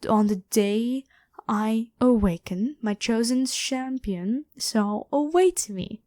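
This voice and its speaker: A woman's voice: on the high side, slow pace, warm tone, no echo, studio quality. A woman voicing an evil ancient pharaoh in an ASMR roleplay, imperious, demanding and scornful as she addresses the listener. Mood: imperious, menacing. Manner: haughty, commanding, theatrically scornful.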